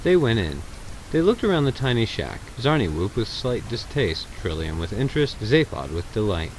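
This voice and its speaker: A man's voice: lowish voice, big pitch swings, moderate pace, a little hiss or hum, poor audio. A man narrating a story in a scripted audiobook style.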